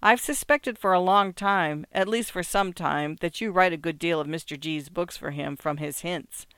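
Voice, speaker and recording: A man's voice: sits high, moderate pace, fairly bright tone, clean tone, dry recording, studio quality. A man voicing a wealthy wife in a short story, mocking and theatrically gushing, with ironic, condescending exclamations. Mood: ironic teasing. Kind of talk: acted literary short story.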